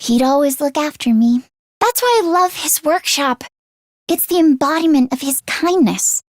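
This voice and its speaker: A high, youthful voice for a video game's anxious mechanic girl. She is sweet, expressive and earnest, quick to fret and put herself down. The recording is very dry, with no room sound. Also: no echo. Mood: fond, nostalgic. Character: anxious teenage girl mechanic, self-deprecating, sweet, easily flustered. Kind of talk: video game character voice acting.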